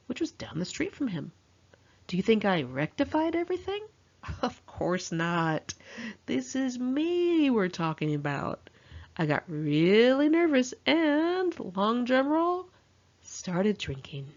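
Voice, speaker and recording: A woman narrates a confessional memoir in a wry, conversational voice. Her delivery is very animated, swinging up and down for dramatic effect, with a somewhat husky tone. The recording is dull and lo-fi, like a homemade audiobook. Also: on the high side, measured pace. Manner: candid, wry, dramatically expressive. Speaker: author reading own memoir. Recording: muffled lo-fi home recording.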